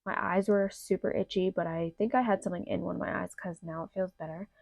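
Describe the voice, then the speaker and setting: A woman's voice: fairly low pitch, steady tone, fast talker, creaky, a little mellow, no echo. A young woman chatting through a makeup tutorial in a casual, filler-heavy way, sounding a little nasal from allergies. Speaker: beauty vlogger. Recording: slightly muffled dry home recording.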